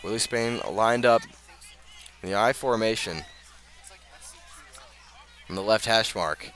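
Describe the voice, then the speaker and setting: Low-voiced American football play-by-play man. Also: slow, a bit bright, faint music behind, a faint crowd. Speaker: play-by-play announcer. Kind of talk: live American football radio commentary. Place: outdoors at a high school football game.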